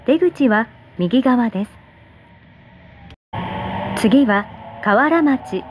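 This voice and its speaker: A woman's voice: a little high, animated, extremely slow. A woman making polite, formal scripted subway announcements, enunciating station names carefully. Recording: dull in-car speaker recording, loud train noise. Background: running subway train noise.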